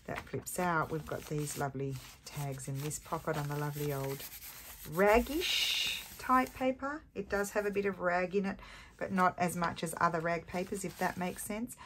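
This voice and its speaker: A woman's voice: on the low side, moderate pace, fairly bright tone. A woman with a scratchy voice hosting a casual craft tutorial, chatting and thinking aloud as she works at her table. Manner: chatty, self-mocking, thinks aloud while working. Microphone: overhead camera on a desk.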